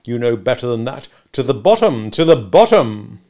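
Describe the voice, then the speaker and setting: A man voicing a bullying, sarcastic gentleman who cross-examines like a lawyer in a storybook reading. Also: mid-range voice, sing-song, moderate pace. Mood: sarcastic, insistent. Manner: interrogative, sarcastic, emphatic, domineering. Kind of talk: dramatic audiobook character reading.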